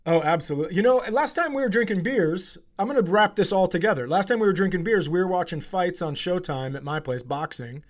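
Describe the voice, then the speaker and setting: A man with a slightly high voice hosts a casual comedy podcast. He talks informally, joking and swearing freely as he chats. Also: animated, fast talker, hardly any pauses. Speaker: podcast host.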